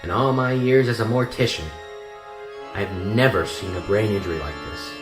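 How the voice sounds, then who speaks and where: An actor playing a seasoned small-town mortician in a radio drama, theatrical and morbidly chatty with hesitant asides. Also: male voice, lowish voice, normal speed, natural voice. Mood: eagerly morbid. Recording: roomy echo with clear music behind. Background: music.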